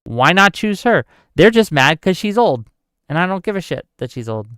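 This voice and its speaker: A man's voice: high-pitched, big pitch swings, normal speed, pushed and bright, clear, resonant tone, dry recording, clean studio sound. A man in his forties hosting a livestream, chatting casually about politics and reading out questions from his live chat. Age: 40s. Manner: conversational, answers chat questions, thinks aloud. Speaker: livestream host.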